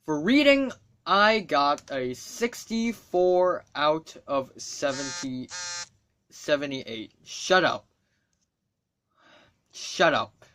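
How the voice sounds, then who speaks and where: A man's voice: fairly high pitch, lively intonation, very slow, long pauses, a bit bright, slight background noise. A teenage boy from Hong Kong whose first language is Cantonese talks casually to camera, rambling, swearing freely and making wry asides. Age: teens. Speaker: student vlogger.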